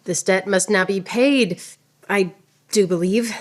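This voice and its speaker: A woman's voice: medium pitch, takes it slowly. A woman playing a proud, witty, wounded swordswoman poet in radio theater, with a lofty, theatrical delivery. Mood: rueful bravado.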